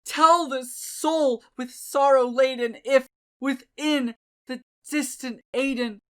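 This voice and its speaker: A woman's voice: sits high, fairly even intonation, slow pace, a bit bright, clean tone, voice pushed up. A woman voicing an anguished, haunted narrator driven mad by grief, declaiming gothic poetry theatrically. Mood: desperate pleading. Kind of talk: gothic poetry dramatic reading.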